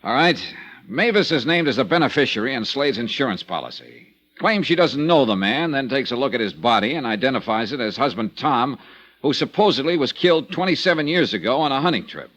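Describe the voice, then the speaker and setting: An actor playing a wry, hardboiled insurance investigator who narrates a 1950s radio drama. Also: male voice, medium pitch, fairly quick. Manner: wry, hardboiled, lively delivery. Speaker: narrator and lead.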